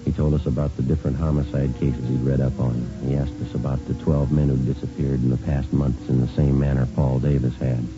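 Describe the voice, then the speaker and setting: An actor playing a terse, unflappable police sergeant in a vintage radio drama, speaking and narrating in a clipped, matter-of-fact deadpan. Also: male voice, a bass voice, a little fast, hardly any pauses. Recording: muffled vintage radio recording, music behind.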